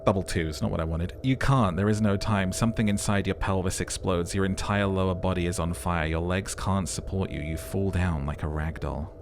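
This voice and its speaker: A man with a low voice plays through a story game aloud. He narrates with dramatic storytelling flair and slips into casual, sweary reactions as a let's player. Faint game noise sits behind him. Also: fairly even intonation, normal speed, few pauses. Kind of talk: game playthrough narration with commentary and voice acting.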